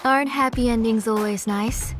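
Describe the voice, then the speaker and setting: A gentle, caring mother from a video game, played by a woman. Her voice is fairly high and coaxing, set over clearly audible music. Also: fairly even intonation, normal speed, natural voice. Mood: affectionate, teasing.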